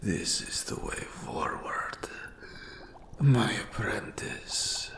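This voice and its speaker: Eldritch dark-magic teacher, very slow, creaky, breathy whisper, ominous, archaic formal wording, fantasy audio roleplay. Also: male voice, big pitch swings, exaggerated voice. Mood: ominous warning. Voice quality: breathy whisper with gravelly low rasp. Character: odd, grave eldritch mentor, master of forbidden dark magic. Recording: roomy echo on the voice.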